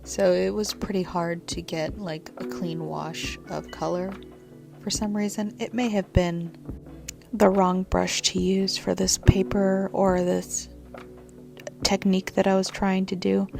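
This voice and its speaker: A woman with a scratchy, congested-sounding throat, narrating a journaling video in a soft, half-whispered voice. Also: mid-range voice, steady tone, measured pace, vocal fry. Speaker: journaling vlogger. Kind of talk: soft-spoken voice-over narration. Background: lo-fi music.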